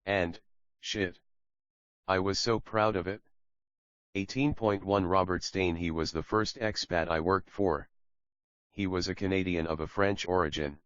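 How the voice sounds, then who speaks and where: Low-voiced russian guy in his 50s reading his memoir, plain unhurried delivery, dry muffled sound. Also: steady tone, a bit bright, clear, resonant tone, no echo. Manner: plain, unhurried reading with non-native phrasing. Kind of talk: audiobook memoir narration.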